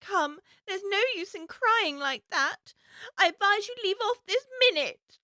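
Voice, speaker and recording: A woman's voice: very high-pitched, expressive, a little slow, pushed and bright, no room sound, clean studio sound, exaggerated voice. A woman playing a curious, prim young girl in an audiobook, talking earnestly and expressively to herself. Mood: scolding. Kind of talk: full-cast audiobook acting.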